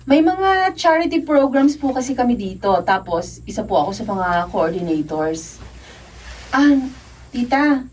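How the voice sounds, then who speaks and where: A woman with a Filipino accent playing a gentle, devout young novice nun in a radio drama. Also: fairly high pitch, sing-song, measured pace. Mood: polite. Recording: distant mic, faint background noise.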